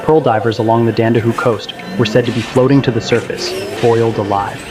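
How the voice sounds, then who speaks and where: Male narrator actor, grave and sincere, motion-comic adventure storytelling of a remembered childhood, over a clear music bed. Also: mid-range voice, fairly even intonation, fairly quick, close to an everyday voice. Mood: grave, reflective. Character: grown Kal-El recounting his boyhood, earnest and grave.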